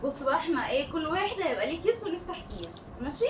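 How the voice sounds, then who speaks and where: A woman's voice: high voice, sing-song, rapid-fire. A woman with an Egyptian Arabic accent chatting casually as the host of a family unboxing vlog, addressing viewers and relatives. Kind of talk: casual chat while unboxing toy bags. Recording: distant mic, echoey room, clear background noise.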